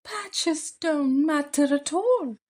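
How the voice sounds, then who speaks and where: A woman voicing a loving father bear, gentle and reassuring, in a children's storybook read-aloud. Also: high voice, lively intonation, very slow, pitched above the performer's own voice, heavily acted. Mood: tender.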